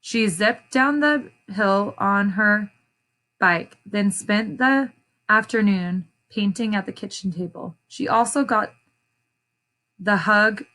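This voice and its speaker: Slow-paced woman reading aloud to children. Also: mid-range voice, sharp tone, poor audio. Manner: pausing to question and explain to young listeners. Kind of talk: children's read-aloud with explanatory asides.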